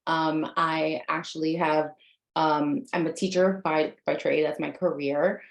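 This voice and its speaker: A woman's voice: sits low, level tone, measured pace, vocal fry, echoey room. A woman in her thirties, a native Spanish speaker, giving an earnest, conversational online workshop as a life coach, talking straight to her audience. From United States.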